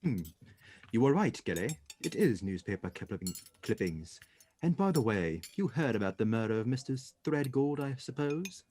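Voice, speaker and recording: A man acts out a courteous gentleman detective for a radio play. His voice is mellow and formal, moving up and down in lively swings, with a little noise behind it. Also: medium pitch, normal speed, close to an everyday voice. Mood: courteous, attentive.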